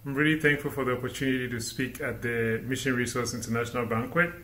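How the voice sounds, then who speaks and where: A young man from Ghana giving an animated inspirational sermon as a guest speaker, asking the listeners rhetorical questions. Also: medium pitch, fast, slight background noise. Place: indoor room against a plain wall. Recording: roomy echo.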